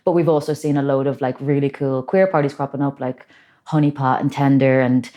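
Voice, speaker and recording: A woman's voice: a bass voice, steady tone, brisk, mellow. A young woman from Dublin with a Dublin Irish accent, chatting casually as an interview guest, full of fillers and restarts. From Ireland. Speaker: guest, party organizer.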